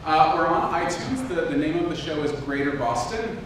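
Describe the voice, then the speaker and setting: A man giving an informal lecture to an audience as an audio drama producer, in a casual, self-deprecating way. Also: normal speed. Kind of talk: informal talk with audience questions. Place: indoor room with an audience. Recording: very echoey room, distant mic, noisy rumble.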